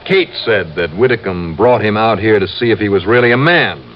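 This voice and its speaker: A man's voice: on the low side, expressive, moderate pace, slight background noise, close to an everyday voice. An actor playing a tough, determined male investigator in an old-time radio drama, narrating his case in a clipped, dramatic, hard-boiled manner. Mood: insistent. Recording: muffled vintage radio recording, dramatic music behind.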